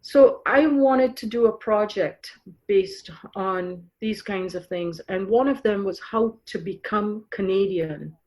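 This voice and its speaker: A middle-aged woman from Trinidad, speaking at a middle pitch. She talks reflectively and thinks aloud, often restarting a sentence or reaching for a word. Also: slow pace, darkish tone, low-quality recording. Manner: reflective, with frequent fillers and restarts. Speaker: guest author. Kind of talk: conversational podcast interview.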